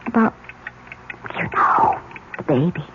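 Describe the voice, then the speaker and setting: An actress playing a young, anxious, tender wife, gentle and hesitant, in an old radio drama. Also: female voice, a little slow, in a whisper, close to an everyday voice. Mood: tender, worried. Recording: tinny, noisy old radio broadcast.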